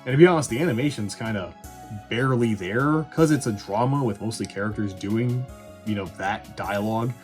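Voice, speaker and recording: Male video reviewer, low-pitched and husky; casual, irreverent, sweary commentary over background music. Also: a little fast.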